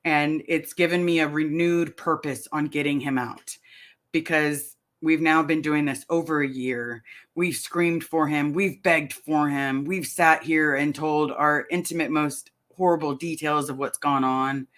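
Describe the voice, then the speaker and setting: Middle-aged woman, live-stream vlogger, chatty and rambling, bright clear tone. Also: low-pitched, fairly even intonation, a little slow. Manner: chatty, rambling, reads comments aloud. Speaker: vlogger answering live chat. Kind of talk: casual live-stream chat.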